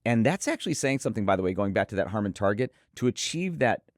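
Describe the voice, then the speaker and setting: Low-voiced man reviewing gear, very dry sound. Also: very animated, fast talker, no echo. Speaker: host and headphone reviewer. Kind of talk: conversational product review.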